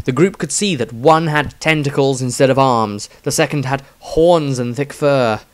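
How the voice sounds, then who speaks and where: Man solemnly narrating a fantasy tale. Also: mid-range voice. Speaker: author narrating own story. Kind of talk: scripted dramatic fantasy narration.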